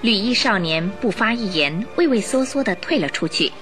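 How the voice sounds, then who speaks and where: A woman's voice: mid-range voice, expressive, moderate pace, a bit bright. A woman reading dramatic scripted narration for a radio play. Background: music.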